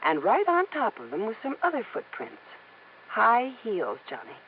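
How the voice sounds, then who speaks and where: A woman's voice: fairly high pitch, wildly swooping pitch, moderate pace. An actress playing a poised, teasing young working woman with coy, bantering delivery in a 1950s radio drama. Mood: curious, puzzled. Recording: muffled band-limited old radio, faint noise.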